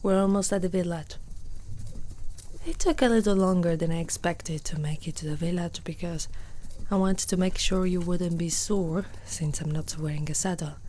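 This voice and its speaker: A woman playing a gentle, protective centaur girl in an ASMR roleplay, speaking softly and closely to one listener, often near a whisper. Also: lowish voice, fairly even intonation, moderate pace, close to an everyday voice. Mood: reassuring. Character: gentle, protective, curious young female centaur.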